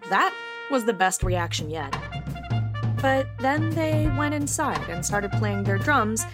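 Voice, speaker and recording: Animated, wry, petty feuding neighbor. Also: female voice, a little high, slow pace, music in the background. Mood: scheming, animated. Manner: wry, indignant, searching for words. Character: aggrieved, petty amateur musician feuding with neighbor. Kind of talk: comic monologue reading of a review.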